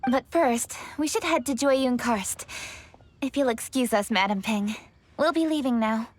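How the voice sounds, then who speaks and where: A woman's voice: a little high, measured pace, a little hiss or hum, natural voice. A woman voicing a diligent, composed young lady official with formal courtesy in a video game. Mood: earnest. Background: game music.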